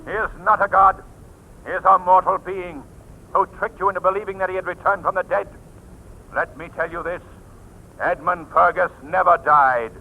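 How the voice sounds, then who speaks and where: Mysterious declamatory avenger, stern, mocking, slightly high voice, vintage radio thriller with thin phone-like sound. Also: male voice, steady tone, moderate pace. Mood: accusing, triumphant. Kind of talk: 1940s radio acting. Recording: old tinny radio recording.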